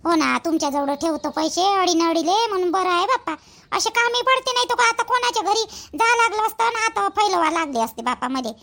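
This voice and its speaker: A woman's voice: very high voice, brisk, fairly bright tone. A woman voicing a busy, talkative village mother in a cartoon, rambling and repeating herself in colloquial Vidarbha Marathi. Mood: chatty, practical. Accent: Varhadi Marathi, Vidarbha. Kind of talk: rural family cartoon dialogue.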